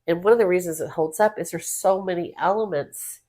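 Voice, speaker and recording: Low-pitched woman chatting. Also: a little fast, dark tone. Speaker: podcast co-host. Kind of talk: casual film discussion.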